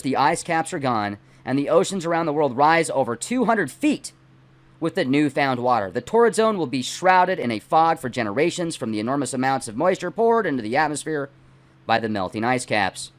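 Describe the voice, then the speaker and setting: A middle-aged man, not a native English speaker, hosting and reading aloud in deliberate, emphatic phrases with casual asides. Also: a little high, very animated. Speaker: host reading a book aloud and commenting. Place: small dim indoor home studio.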